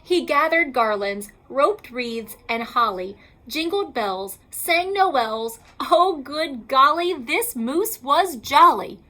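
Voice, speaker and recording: A woman reading a children's picture book aloud like a schoolteacher, in a theatrical, emphatic and deliberate way. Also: high-pitched, lively intonation, bright, forceful tone.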